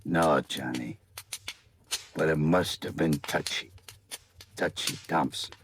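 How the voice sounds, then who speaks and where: A gravely wounded crook from a vintage radio play, loyal to his friend and wary. His voice sits very low and comes out weak and halting. The old recording is plainly noisy, with clicks. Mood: weak, earnest. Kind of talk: 1950s radio drama acting.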